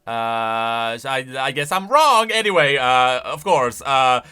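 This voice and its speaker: A man's voice: mid-range voice, big pitch swings, slow, sharp tone, clear, resonant tone, soft background music. A man giving casual, semi-improvised video commentary, thinking aloud with emphatic delivery. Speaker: YouTube lore commentator.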